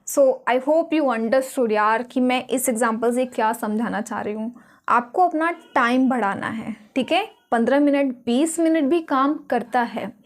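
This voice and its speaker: Earnest high-voiced Indian woman. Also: very fast. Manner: earnest, coaxing, switches into Hindi. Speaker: English-speaking coach. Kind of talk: motivational lesson to camera.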